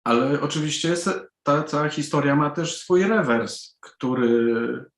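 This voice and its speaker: A man from Poland, a native Polish speaker, answering as an author in a panel interview, deliberately and with pauses mid-sentence. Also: a little high, fairly bright tone. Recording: echoey room sound.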